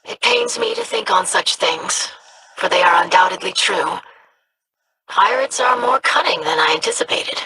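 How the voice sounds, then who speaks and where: A woman's voice: mid-range voice, big pitch swings, normal speed, gravelly, sharp tone, a little hiss or hum, exaggerated voice, low-quality recording. An actress playing an earnest, polite young female alien scholar. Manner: polite, formal.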